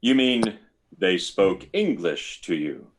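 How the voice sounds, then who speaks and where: An actor playing a hesitant, probing army psychiatrist in an old-time radio science fiction drama, stammering and deliberate. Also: male voice, deep voice, very animated, heavily acted. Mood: skeptical, probing.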